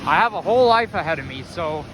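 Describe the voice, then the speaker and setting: Loud guy rambling on a walking vlog, voice a bit high, car noise and wind all around him outside. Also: lively intonation, fast. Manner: chatty, rambling, thinks aloud with restarts. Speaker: vlogger. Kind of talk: casual walking vlog narration. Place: snowy city street outdoors. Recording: street noise and wind on mic. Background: traffic and wind.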